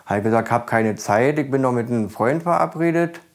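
A man's voice: mid-range voice, expressive, brisk, a little hiss or hum. A man giving a recollection in a documentary interview as a witness. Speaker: interviewee, neighbour of the victim.

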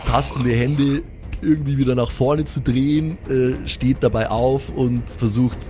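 A man's voice: medium pitch, steady tone, measured pace, warm tone. Man narrating over noticeable noise, lilt. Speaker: game master. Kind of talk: improvised tabletop game narration.

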